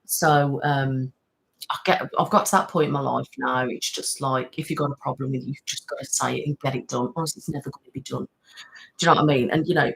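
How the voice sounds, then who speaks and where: A woman's voice: very deep voice, creaky, fairly bright tone, poor audio. A woman hosting a casual live-stream chat, rambling, laughing often and thanking viewers by name. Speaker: ASMR channel host.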